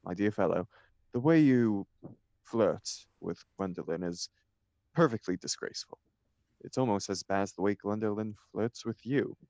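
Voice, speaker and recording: Man acting a young upper-class idler, low voice, arch, mock-serious, dry close sound, stage comedy. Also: normal speed, a little mellow, no echo, lo-fi sound, lightly acted. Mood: flippant. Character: flippant, idle upper-class young bachelor gentleman. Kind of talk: stage comedy table reading.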